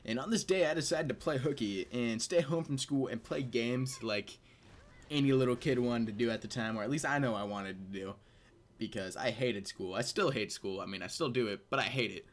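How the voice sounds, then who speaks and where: A young adult man hosts a casual storytelling video with a mid-pitched voice. He talks informally and crudely, joking as he goes and amused at his own material. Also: fairly quick. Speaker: video host telling personal stories. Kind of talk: casual comedic personal storytelling.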